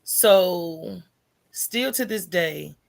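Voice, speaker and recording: A woman's voice: fairly low pitch, animated, extremely slow, creaky. An American woman speaking as a podcast guest in a casual, colloquial way, often checking in with the listener as she explains. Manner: informal, colloquial, checks in with "right?" and "you know". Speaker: podcast guest, coffee business owner. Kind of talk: casual conversational interview answers.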